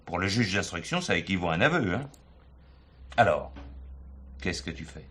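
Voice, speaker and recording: An actor playing a sardonic police inspector in a stage play, needling and familiar. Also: male voice, deep voice, big pitch swings, bright, forceful tone, exaggerated voice. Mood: smug, pressing. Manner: familiar, needling, dictates slowly and deliberately. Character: wily, sardonic male police inspector grilling a suspect. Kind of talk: filmed stage play acting. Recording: hissy 1970s theatre recording.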